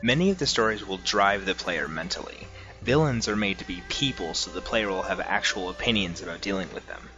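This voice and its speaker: A man's voice: low-pitched, lively intonation, a little fast, dull audio. A man narrating scripted video game commentary as a reviewer, with music in the background.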